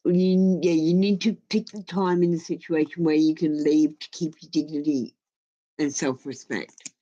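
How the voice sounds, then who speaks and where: A woman's voice: sits low, steady tone, moderate pace, warm tone, lo-fi sound. A woman giving a casual live tarot reading, offering blunt, informal advice with some swearing. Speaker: tarot reader and live stream host.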